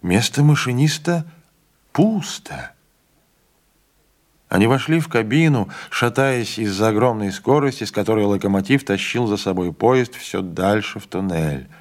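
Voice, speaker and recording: A man reading a classic novella as an audiobook narrator, measured and dramatic. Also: lowish voice. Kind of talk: literary narration.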